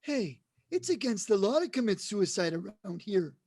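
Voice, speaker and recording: A woman's voice: medium pitch, sing-song, moderate pace, dark tone, breathy edge. A woman playing a spooked, blunt, suspicious local bystander in 1940s radio drama style. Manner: blunt, colloquial. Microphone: video call.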